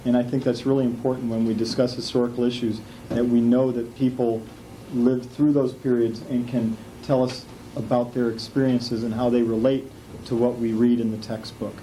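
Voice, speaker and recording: Rambling history teacher, mellow voice. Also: male voice, medium pitch, flat delivery, moderate pace, slight background noise, rough recording. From Indiana. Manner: rambling, self-correcting, friendly. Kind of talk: classroom interview. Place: school classroom.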